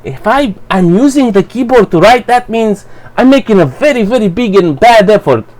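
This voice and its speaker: A man whose first language is Romanian, giving a blunt, profane, halting commentary for his channel. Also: high-pitched, animated, darkish tone. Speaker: vlogger. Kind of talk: rambling personal commentary.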